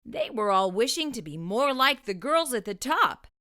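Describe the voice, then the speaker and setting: A woman's voice: on the high side, sing-song, moderate pace, pushed and bright. A woman hosting a children's read-aloud, narrating a storybook expressively with careful delivery.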